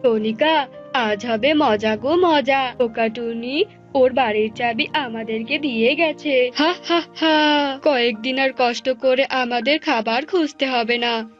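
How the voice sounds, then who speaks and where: A woman's voice: high-pitched, slow. Casting: woman as scheming cartoon husband bird, smug drawl, bossy, slightly muffled recording. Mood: gloating, greedy. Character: greedy, scheming married male bird, gloating and bossy. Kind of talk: Bengali cartoon bird-fable acting. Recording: dull lo-fi audio with music.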